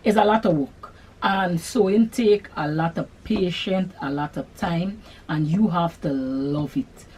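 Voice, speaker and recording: A middle-aged woman with a Guyanese accent, hosting a sewing channel and answering viewer questions in an unhurried, chatty way. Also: on the low side, darkish tone, a little hiss or hum, poor audio. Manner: unhurried, chatty, Creole-tinged phrasing. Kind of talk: casual Q&A chat to camera.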